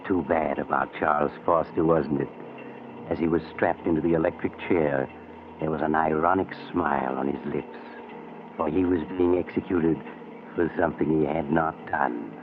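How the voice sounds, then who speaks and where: A man's voice: extremely low pitch, normal speed. A man playing a genial but sinister mysterious traveler, narrating an old radio mystery in a wry, theatrical manner. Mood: sly, genial. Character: mysterious male train traveler, genial, sinister teller of macabre tales. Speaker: narrator and host of mystery drama. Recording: muffled, noisy old radio recording.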